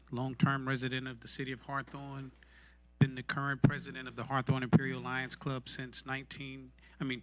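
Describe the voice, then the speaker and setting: Even-toned man giving public comment. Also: mid-range voice. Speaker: community club president giving public comment. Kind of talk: public comment at a council meeting.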